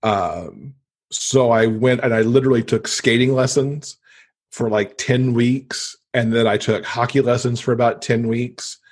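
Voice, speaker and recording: A man's voice: medium pitch, fairly even intonation, measured pace. A middle-aged American man from Michigan chatting casually as a guest in an interview. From Michigan, United States. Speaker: podcast guest, romance author.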